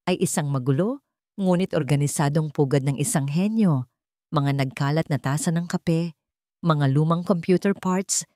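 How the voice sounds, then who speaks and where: A woman's voice: on the low side, darkish tone, no room sound, clean studio sound. A woman with a Filipino accent reading a scripted story in a dramatic narration style.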